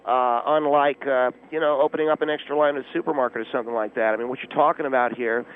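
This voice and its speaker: A man playing an officious, self-important but clueless volunteer auxiliary policeman on a phone line, deadpan radio comedy with pompous, halting tactical jargon. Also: mid-range voice, a little hiss or hum. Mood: officious, confident. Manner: pompous pseudo-tactical jargon, hesitant filler-laden delivery. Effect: telephone line. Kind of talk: deadpan comic radio call-in. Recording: tinny, narrow phone line.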